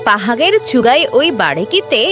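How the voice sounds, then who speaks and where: A woman's voice: a little high, sing-song, brisk, over music. A woman voicing a brave, kind and earnest little girl in a children's cartoon story. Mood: determined.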